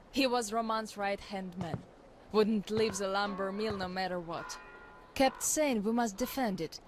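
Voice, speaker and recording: A woman's voice: medium pitch, a little hiss or hum, lightly acted. An actress playing a tough young woman telling of her group's past, frank and wistful. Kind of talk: in-game monologue.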